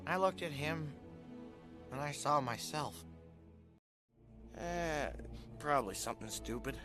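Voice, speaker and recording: A man voicing an awkward, sarcastic, scrawny teenage Viking boy in an animated film, nasal, with a wry, stammering delivery. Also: on the high side, slow pace, music in the background. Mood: earnest.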